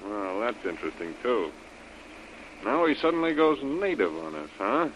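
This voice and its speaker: Smug radio villain, muffled sound. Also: male voice, on the low side, big pitch swings, moderate pace, fairly bright tone, slight background noise. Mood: amused, sly. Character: sly scheming male villain, smug and bossy. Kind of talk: 1930s radio adventure acting. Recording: muffled old radio recording.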